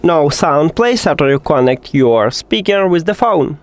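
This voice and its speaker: Lively, high-pitched man giving tech instructions. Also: measured pace, background noise. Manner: non-native English phrasing with dropped articles; step-by-step, instructive. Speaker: tech tutorial presenter. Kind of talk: instructional how-to narration.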